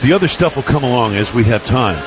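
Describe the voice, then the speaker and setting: A man's voice: medium pitch, fast talker. A man calling live football play-by-play for radio in punchy, clipped phrases over a noisy stadium broadcast feed. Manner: punchy clipped phrases, building excitement on plays. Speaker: play-by-play announcer.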